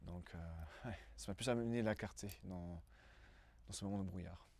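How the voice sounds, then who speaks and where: A man's voice: low-pitched, moderate pace, darkish tone. A man in his twenties from Brussels giving a casual interview testimony, hesitant and often searching for words. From Brussels, Belgium.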